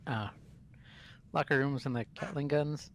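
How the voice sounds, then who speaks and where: A man's voice: a little high, a little slow, slight background noise. A man in casual gaming chat, explaining things to friends.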